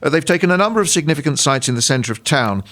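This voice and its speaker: A man's voice: a little high, expressive, fast talker, a bit bright. A man playing a deferential, hesitant subordinate reporting to his superior in an audio play. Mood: dutiful, factual. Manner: hesitant, apologetic, dutifully factual.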